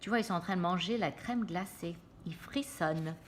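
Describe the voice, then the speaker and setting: A woman reading a storybook aloud to children, pausing to explain pictures and check in with her listeners. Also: fairly low pitch, a little hiss or hum. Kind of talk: animated read-aloud with asides to children.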